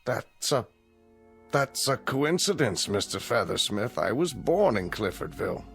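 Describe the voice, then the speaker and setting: An actor playing a deferential small-town night custodian in an audio drama, speaking politely and hesitantly. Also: male voice, medium pitch, very animated, unhurried, vocal fry, a bit bright, soft background music, exaggerated voice. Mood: meek.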